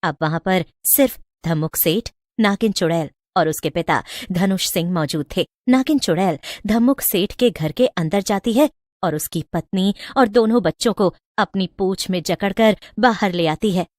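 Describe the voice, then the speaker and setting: A woman's voice: medium pitch, moderate pace, a little mellow, dry recording, studio quality. A woman storyteller reading a scripted moral tale in a dramatic narrating style.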